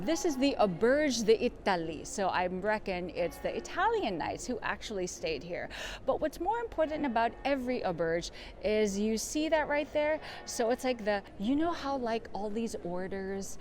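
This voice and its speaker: A woman's voice: medium pitch, darkish tone. Chatty woman guiding a walking tour. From Philippines. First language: Tagalog. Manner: chatty, loose, with many fillers like "like" and "actually"; walking while talking. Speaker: tour guide. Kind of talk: casual walking-tour vlog narration. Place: outdoors in a city's streets and harbour lookout. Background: background music.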